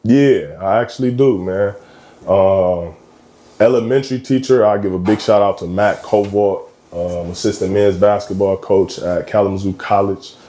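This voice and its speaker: A man in his thirties from Michigan, a basketball coach talking as a guest in a casual interview, relaxed and slangy with frequent ums. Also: fairly low pitch, moderate pace, roomy sound. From Michigan, USA. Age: 30s. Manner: laid-back, slangy, lots of ums.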